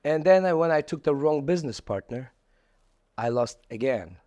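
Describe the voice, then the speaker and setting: A man's voice: on the high side, expressive, moderate pace, mellow, no room sound. Middle-aged Polish-accented man, dry close sound. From Poland. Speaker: podcast guest, entrepreneur. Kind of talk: conversational interview.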